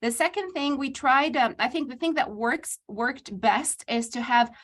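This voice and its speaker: Woman, kinda high voice, professor type on a video call, lots of ums and restarts, earnest. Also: unhurried. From Tunisia. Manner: many fillers and restarts, earnest and explanatory. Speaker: university professor and AI trainer. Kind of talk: spontaneous explanation in a virtual panel.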